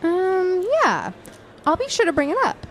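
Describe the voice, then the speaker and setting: A woman's voice: very high voice, sing-song, unhurried, slight background noise, exaggerated voice. An actress playing a modest, affectionate, slightly guarded young woman in an audio drama. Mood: evasive.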